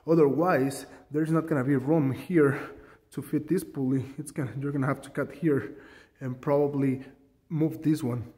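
A man's voice: on the high side, fairly even intonation, moderate pace, dark tone, in a large hall. A man casually demonstrating a workshop tool modification, rambling and self-deprecating with many ums, filmed on a handheld phone in his garage. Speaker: DIY fabricator showing a tool mod. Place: home garage workshop, indoors. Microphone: handheld phone camera.

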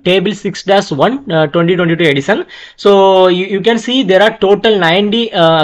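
A man teaching a technical lesson over a screen recording, talking with frequent fillers, restarts and repeated "okay". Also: high voice. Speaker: HVAC trainer.

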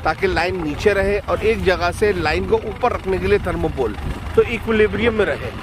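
Brief: Pakistani fishing vlogger, thirties, high voice, step-by-step explainer over loud boat engine noise. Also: male voice, normal speed. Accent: Pakistani Urdu. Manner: step-by-step explanatory, mixes in English terms. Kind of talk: explaining while filming. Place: open fishing boat at sea.

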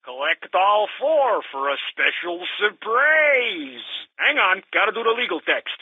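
Phone-filtered, irritable cheesy game salesman, very raspy, animated pitch swings, hammy cartoon delivery. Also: dry recording, heavily acted. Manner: salesy, hammy, easily exasperated. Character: cheesy, irritable low-rent video game company boss faking a voicemail recording. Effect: telephone filter. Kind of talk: comedic cartoon game acting. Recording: tinny narrow phone-like line.

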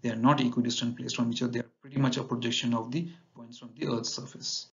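A man teaching navigation over slides in a measured lecture, often pausing with fillers. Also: mid-range voice, flat delivery, gravelly. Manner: measured, explanatory, with frequent fillers and restarts. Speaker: navigation instructor. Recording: dull lo-fi voice-over.

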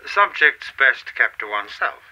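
Man acting menacing male official, very bright tense voice, medium pitch, measured, formal, radio drama. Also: big pitch swings, dull audio, exaggerated voice. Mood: coolly insinuating. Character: mysterious middle-aged official, coolly menacing caller.

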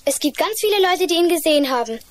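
A woman's voice: extremely high pitch, lively intonation, quick pace. A woman playing an anxious, earnest small child in a children's radio play.

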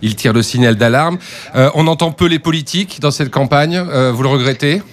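A man's voice: fairly high pitch, fairly quick. A man hosting a live radio news interview, hesitating and repeating words as he forms his questions. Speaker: radio host.

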